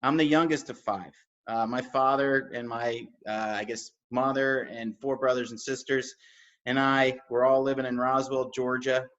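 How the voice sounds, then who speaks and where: A man's voice: medium pitch, flat delivery, moderate pace, a bit bright. A man who grew up in New York, speaking as an interview guest in a casual, easygoing storytelling way. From New York, United States. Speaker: interview guest, construction analytics CEO. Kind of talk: casual conversational personal storytelling. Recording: dull, lo-fi sound.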